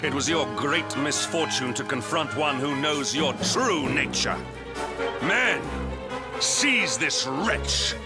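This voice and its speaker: An actor playing a righteous, indignant knight commander in a video game, declaiming formally. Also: male voice, on the high side, lively intonation, slow. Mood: scornful, commanding. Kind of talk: video game cutscene acting. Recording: loud music behind the voice.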